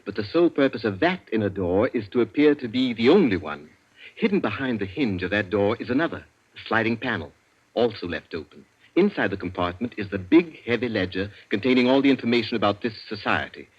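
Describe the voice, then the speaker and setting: Urbane, cunning British prisoner, suave and precise, husky edge, old lo-fi radio suspense recording. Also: male voice, on the low side, animated, fairly quick. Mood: smooth, smug. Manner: suave, precise, leisurely explaining. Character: urbane, cunning male captive inventor, smugly polite.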